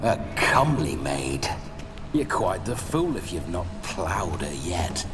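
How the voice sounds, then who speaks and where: A man's voice: deep voice, big pitch swings, takes it slowly, exaggerated voice. An actor playing the boisterous, lecherous ghost of a dead nobleman in a video game, speaking in a swaggering, theatrical, archaic lordly way. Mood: teasing, lecherous. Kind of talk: video game character acting. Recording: music behind the voice.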